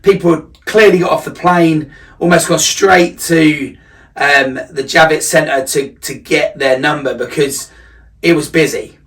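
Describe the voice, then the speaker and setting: A man talks through a personal recap in a conversational vlog style. His voice sits slightly high and is picked up from a little distance. He is reflective, leaning on key words for emphasis. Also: fairly even intonation, a little slow, fairly bright tone. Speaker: running coach vlogger.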